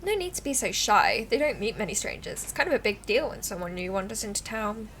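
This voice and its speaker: A woman's voice: medium pitch, lively intonation, fast, a bit bright, a little hiss or hum, rough recording. A woman voicing a friendly, talkative small-town local in a visual novel, with a folksy manner. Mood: friendly, chatty. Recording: music playing behind the voice.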